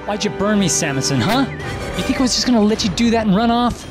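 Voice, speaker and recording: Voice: medium pitch, animated, quick pace, natural voice. An actor playing a taunting, aggressive schoolboy bully in an audio drama, sneering and threatening. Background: background music.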